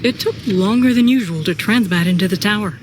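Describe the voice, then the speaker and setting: A woman's voice: medium pitch, a little fast, natural voice. A woman narrating a sci-fi audio drama in first person as a troubled, brooding soldier. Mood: anxious. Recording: clear background noise and ambience.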